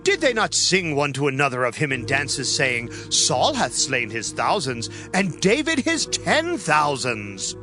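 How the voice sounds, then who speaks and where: A man's voice: fairly high pitch, huge pitch swings, a little slow, hardly any pauses, over music. A man playing a suspicious royal servant in a dramatized scripture reading, declaiming in archaic English.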